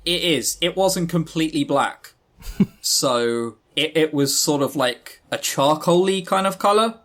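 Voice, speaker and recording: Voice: medium pitch, a little slow, fairly bright tone. A podcast co-host chatting casually about games in an exasperated, emphatic, sweary way. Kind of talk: casual visual novel review chat.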